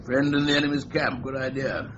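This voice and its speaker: An actor playing a senior police officer briefing an inspector, in a clipped, brisk radio drama manner. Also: male voice, mid-range voice, background noise, lightly acted. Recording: dull lo-fi radio recording.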